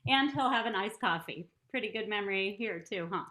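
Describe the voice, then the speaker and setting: A woman's voice: medium pitch, expressive, fairly quick, bright, forceful tone, low-quality recording. A woman playing a teasing, affectionate dream girl on a date in a sitcom table read. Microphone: video call.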